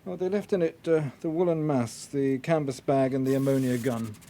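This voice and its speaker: A man's voice: medium pitch, normal speed, warm tone, natural voice. Radio drama: informal British police examiner, played by a man, matter-of-fact and dryly amused, faint ambience behind. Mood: dry, factual. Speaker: police forensic officer. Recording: clear background ambient noise.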